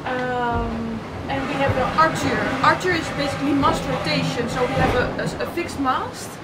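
Young woman, high voice, animated, explaining sailing gear, set back from the mic, loud engine noise. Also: moderate pace, recorded from a distance. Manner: animated, gesturing while explaining. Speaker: sailor giving a boat tour. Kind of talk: casual explanatory tour. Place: cramped racing yacht cabin at sea. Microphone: on-board camera a little off.

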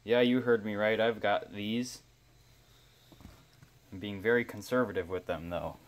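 A man's voice: on the low side, steady tone, measured pace. A man giving casual repair commentary as a hobbyist, rambling and self-deprecating as he thinks aloud. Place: indoor workshop bench.

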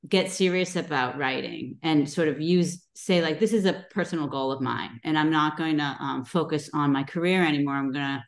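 A middle-aged woman with a notably low voice talks through her background in a loose, chatty way, dotting her sentences with ums. She is speaking over a video call. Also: moderate pace, few pauses. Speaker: guest author. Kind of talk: casual video call talk.

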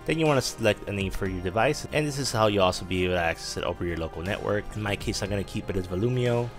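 Low-voiced man giving tech instructions. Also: racing. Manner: instructional, repeatedly directing the listener. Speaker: tech tutorial host. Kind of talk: step-by-step tutorial narration. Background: background music.

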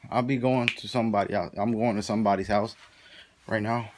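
A man's voice: on the low side, fairly even intonation, a little fast. A young man talking casually to his phone camera, rambling and cursing as he moves around his house and then outside. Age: young adult. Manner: rambling, mumbled asides, frequent cursing. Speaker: vlogger. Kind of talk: casual handheld vlog. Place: house indoors, then outdoors in a neighborhood. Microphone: phone held at arm's length. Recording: noisy phone recording.

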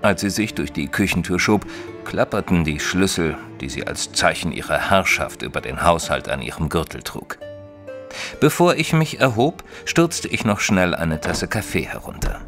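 An actor playing a nineteenth-century bookseller who tells his detective adventures in a measured, literary way. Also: deep voice, very animated, a bit bright, full character voice. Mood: measured storytelling. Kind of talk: scripted first-person audio-drama narration. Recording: studio voice over light music.

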